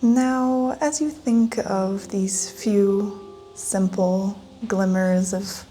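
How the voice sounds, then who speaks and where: A woman leading a guided meditation in a gentle, soothing manner with long pauses. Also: medium pitch, fairly even intonation. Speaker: meditation guide, yoga teacher. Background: soft instrumental music.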